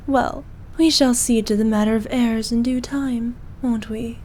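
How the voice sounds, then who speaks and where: A woman playing a regal, possessive evil queen who dotes on her knight in an intimate ASMR roleplay, speaking formally and softly, at times in breathy whispers. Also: fairly high pitch, a little hiss or hum. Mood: seductive, pleased. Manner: regal, slow, archaic formal speech.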